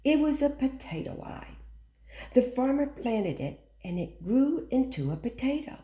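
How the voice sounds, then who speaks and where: A woman reading a picture book aloud like a teacher, with simple, instructive phrasing and expressive stress on key words. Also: high-pitched, measured pace. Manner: simple, instructive phrasing aimed at young children. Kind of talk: animated children's picture book read-aloud. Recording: echoey room.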